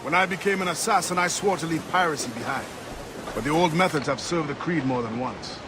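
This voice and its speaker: An actor playing a determined, commanding ship captain, a pirate turned assassin, in a dramatic video game. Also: male voice, on the high side, brisk, background noise, close to an everyday voice. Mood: grim, resolute. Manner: commanding, resolute.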